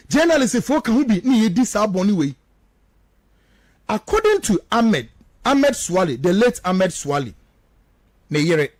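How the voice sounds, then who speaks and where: A man's voice: high voice, no echo. A Ghanaian man who speaks Twi natively, giving impassioned political radio commentary in an emphatic way, driving points home with rhetorical questions. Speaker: political commentator, studio guest.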